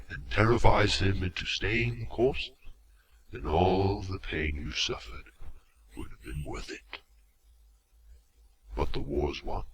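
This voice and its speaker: Villainous robot warlord for audio drama, male actor: eloquent, menacing, mock-courteous, very unhurried with theatrical pauses, crisp forceful edge, slight home-recording noise. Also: low-pitched, exaggerated voice. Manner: theatrical, deliberate, with dramatic pauses and drops to whispers.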